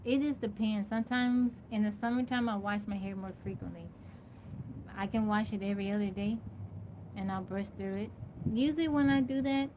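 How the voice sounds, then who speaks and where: A woman's voice: medium pitch, a little mellow. A woman from Texas hosting a casual live stream, greeting viewers by name and answering their questions. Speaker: livestream host. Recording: noisy live-stream audio.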